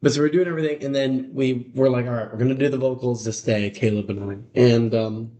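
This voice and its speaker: Raspy chatty man in an echoey room. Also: mid-range voice, fairly even intonation, fast, dark tone. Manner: rambling, chatty, many fillers. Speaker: musician guest. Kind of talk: casual podcast chat. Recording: roomy echo.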